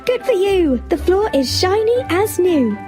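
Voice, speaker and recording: A woman's voice: very high voice, sing-song, unhurried, faint music behind, heavily acted. A woman playing a sweet, cheerful young princess in a children's story, politely encouraging the listener. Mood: delighted. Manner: polite, encouraging, gently instructive.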